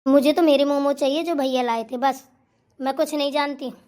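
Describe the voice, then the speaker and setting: A woman acts out a demanding young sister-in-law with an extremely high, girlish pitch. She is quarrelsome and insistent, complaining her way through a domestic comedy skit. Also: steady tone, moderate pace, warm tone, lightly acted. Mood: insistent, aggrieved. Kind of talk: family comedy skit acting.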